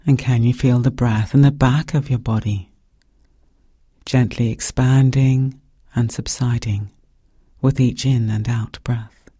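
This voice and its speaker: Mellow, breathy man guiding meditation. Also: mid-range voice, no echo, studio quality. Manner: calm, gentle, measured phrasing with pauses. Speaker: meditation guide. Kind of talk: guided meditation narration.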